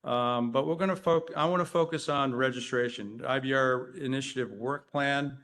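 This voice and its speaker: A man's voice: medium pitch, normal speed, a bit bright. A man from Rhode Island giving a conference talk as an engineer. He is chatty and self-deprecating, quizzes the audience, and uses frequent fillers. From Rhode Island, USA. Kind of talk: conference presentation with audience banter. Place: conference hall.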